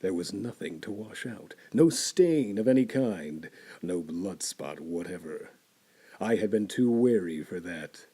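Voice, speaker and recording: A male storyteller reads a classic horror tale in a slow, deliberate way. His voice sits low and dark, with a husky grain. His delivery is theatrical, sinking to hushed whispers and climbing into tense, intense bursts. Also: expressive.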